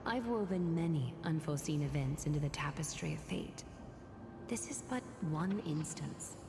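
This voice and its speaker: A woman's voice: sits low, animated, normal speed, gravelly, background noise. A woman playing a regal, calculating noblewoman in a video game, speaking in a stately, coolly composed, formal manner. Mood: composed, commanding. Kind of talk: scripted English video game voice acting. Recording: echoing voice under game music. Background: game music.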